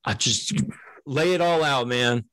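A man's voice: mid-range voice, measured pace, a bit bright, clean tone, dry recording, poor audio. A man hosting a podcast, upbeat and encouraging as he asks his guest questions. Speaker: podcast host. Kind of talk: casual interview conversation.

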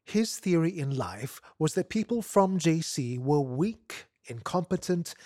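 Higher-voiced man narrating a story. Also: a little mellow, breathy edge, no room sound, clean studio sound. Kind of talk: expressive scripted narration of literary fiction.

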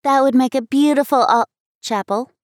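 A woman's voice: a little high, fairly quick, no room sound, clean studio sound, voice pushed up. A woman reading aloud, voicing an eager, headstrong little girl in a storybook. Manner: excited, pleading, scornful.

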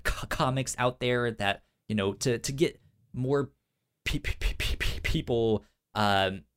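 Male casual podcaster, medium pitch, halting delivery with a noticeable stutter and repeated syllables. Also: fairly bright tone, smooth. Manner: halting, informal. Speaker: podcast co-host.

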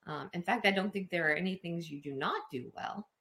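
A woman's voice: fairly low pitch, a little fast, fairly bright tone. A woman hosting a casual interview show, enthusiastic and affirming toward her guest. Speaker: podcast host.

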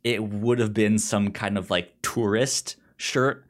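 A man co-hosting a film podcast, chatting casually and haltingly as he searches for words. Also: lowish voice, steady tone. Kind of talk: casual film discussion and recommendations.

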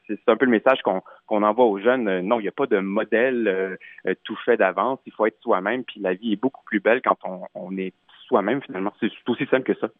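A man's voice: fairly low pitch, no room sound, narrow, tinny audio. A man with a Québécois accent giving a casual interview as a guest, often restarting his sentences. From Quebec, Canada. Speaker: singer in a vocal group, interview guest.

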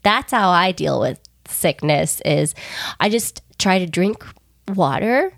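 A young woman co-hosting a podcast, soft-spoken and rambling a little, getting over a cold. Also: on the low side, expressive, takes it slowly, gravelly, no echo, clean studio sound. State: recovering from a cold. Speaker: podcast co-host. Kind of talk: casual podcast chat.

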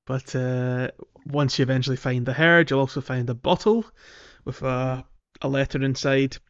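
A man's voice: mid-range voice, fairly even intonation, moderate pace, a bit bright, lo-fi sound. A man co-hosting a casual gaming podcast, recounting things in a hesitant, rambling way. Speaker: podcast co-host.

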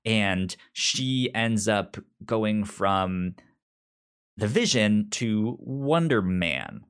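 A man co-hosting a podcast in casual discussion, halting and often stammering over repeated words. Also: fairly low pitch, very animated, a bit bright, clean tone. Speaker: podcast co-host. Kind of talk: casual comic book discussion.

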